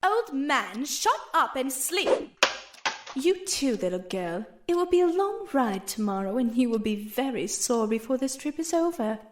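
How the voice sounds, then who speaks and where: A woman's voice: sits high, sing-song, measured pace, slight background noise. A woman playing a sadistic, haughty mage villain in an audio drama, with a mocking, theatrical delivery. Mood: irritated, menacing. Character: sadistic gloating female weather-mage villain, taunting and haughty.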